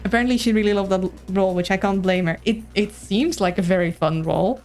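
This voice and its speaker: A woman's voice: medium pitch, quick pace, darkish tone. A woman with a Dutch accent giving casual gameplay commentary, rambling and thinking aloud. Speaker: game streamer. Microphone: streaming mic with voice filters. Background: game music.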